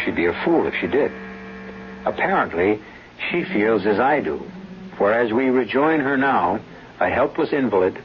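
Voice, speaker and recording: A man hosting and narrating a 1970s radio drama in a measured, wry, theatrical manner. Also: lowish voice. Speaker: host and narrator. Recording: muffled hissy old radio recording.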